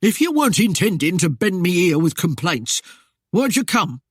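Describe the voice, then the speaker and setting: Male reader doing a whiny, blustering small-town mayor, pitched up high and pushed loud. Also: very animated, normal speed, slightly rough, no echo, studio quality, heavily acted. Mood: wheedling. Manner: whining, wheedling, coarse dialect speech. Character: blustering, greedy, cowardly middle-aged male mayor. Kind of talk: audiobook character voice.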